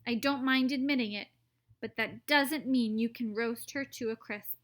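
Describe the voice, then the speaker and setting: A woman's voice: a little high, moderate pace, a bit bright, close to an everyday voice. A woman voicing a pompous, indignant well-to-do father in a children's storybook. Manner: pompous, huffy.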